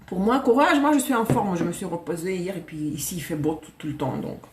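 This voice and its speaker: A woman's voice: lowish voice, sing-song, fairly quick, slight background noise. A woman reading tarot cards on a live stream, chatty, laughing and correcting herself as she goes. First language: Russian. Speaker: tarot reader. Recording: echoey room.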